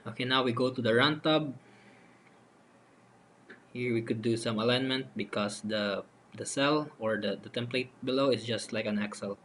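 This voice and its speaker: A man giving a halting, unscripted, step-by-step software demonstration as a voice-over for a screen recording. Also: mid-range voice. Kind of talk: step-by-step screen tutorial narration. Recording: noticeable steady background noise.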